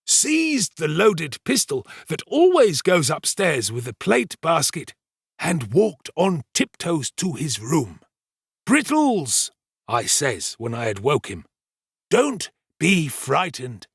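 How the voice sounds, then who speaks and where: A man voicing a pompous, self-important male servant in a storybook reading, with drawn-out, emphatic delivery. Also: fairly high pitch, huge pitch swings, gravelly, breathy edge, no echo, studio quality, pitched above the performer's own voice, heavily acted. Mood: dramatic, grave.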